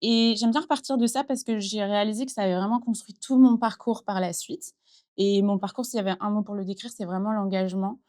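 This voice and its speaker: A woman's voice: medium pitch, steady tone, a little fast, a little mellow. A thirty-year-old woman from western France, speaking in a casual interview in an earnest, reflective way with frequent fillers, recorded in a small improvised studio. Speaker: entrepreneur guest. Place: improvised studio in a concert hall dressing room.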